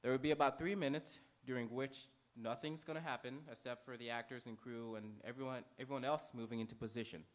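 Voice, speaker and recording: Male pre-show host, medium pitch, informal announcements to a live auditorium, unpolished and conversational delivery. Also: steady tone, fast, few pauses. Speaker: host warming up a live theater audience. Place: on stage in an auditorium before a live audience.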